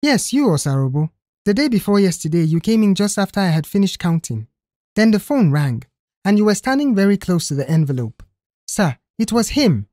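A woman's voice: mid-range voice, big pitch swings, fairly quick, dark tone, no room sound, clean studio sound, voice pushed up, close to an everyday voice. A woman voicing an insistent, accusatory female receptionist in an audio story. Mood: accusing.

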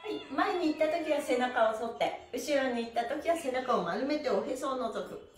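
High-pitched woman instructor in a roomy space. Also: normal speed. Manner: cheerful, animated, encouraging, often laughing. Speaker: stretch class instructor and host. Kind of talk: live online exercise lesson, counting and chatting to participants. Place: small room indoors, on a mat on the floor. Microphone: camera a few steps away. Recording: roomy echo.

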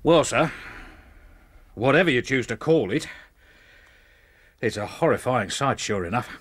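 An actor playing a deferential, plain-spoken police inspector with an English accent in a radio drama. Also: male voice, medium pitch, lively intonation, unhurried, a bit bright, breathy edge. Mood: matter-of-fact, respectful.

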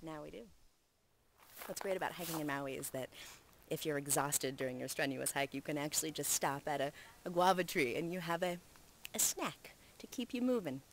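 Young woman, travel host, lowish voice, enthusiastic, handheld camera at arm's length, outdoor forest trail, faint background noise. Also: normal speed. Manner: upbeat, enthusiastic, talks to a handheld camera while walking. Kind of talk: casual on-camera presenting.

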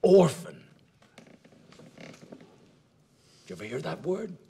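An actor playing a bitter older father disowning his son, who alternates hissed whispers with sudden shouts. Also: on the high side, big pitch swings, measured pace, creaky, full character voice. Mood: furious.

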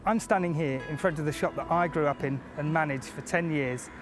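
Male presenter, 30s, fairly high voice, scripted film narration, clear music bed underneath. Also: fairly quick. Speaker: programme manager and presenter. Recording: music behind the voice.

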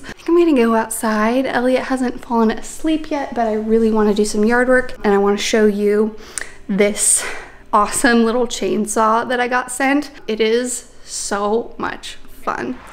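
A young pregnant woman chatting casually to camera in a bright living room, upbeat and full of fillers as she shows off her purchases. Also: on the high side. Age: young adult. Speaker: lifestyle vlogger. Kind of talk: casual vlog chat showing purchases.

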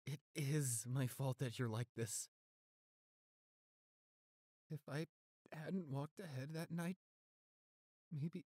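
Man acting as devoted boyfriend, soft halting delivery, slow pace, mid pitch, dry close sound, intimate audio roleplay. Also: breathy edge, no echo. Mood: regretful. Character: gentle, devoted, weakened boyfriend of a vampire.

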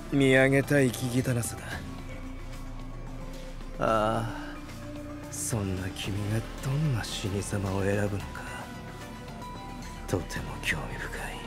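An actor playing a cold, mocking assassin leader who speaks in a calm, formal, sneering way. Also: male voice, on the low side, slow, pauses often, over loud music. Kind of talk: anime voice drama acting.

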